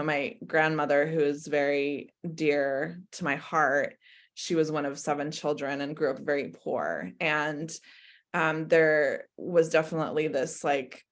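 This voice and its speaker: Woman interview guest, low-pitched, very leisurely pace, introspective and conversational. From born in Croatia, lived mostly in America. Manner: introspective, hedging with frequent "like". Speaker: interview guest, business coach.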